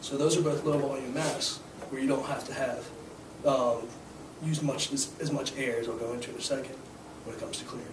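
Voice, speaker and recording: A hoarse young man teaching a scuba class, explaining gear and skills in a casual, filler-heavy way. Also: medium pitch, steady tone, fairly quick. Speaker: scuba instructor. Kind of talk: hands-on demonstration to a class. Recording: echoey room, distant mic, noisy.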